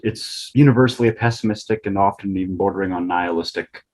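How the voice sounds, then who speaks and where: A man, an actor and film scholar, giving measured analysis in a panel discussion. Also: low-pitched, a little mellow.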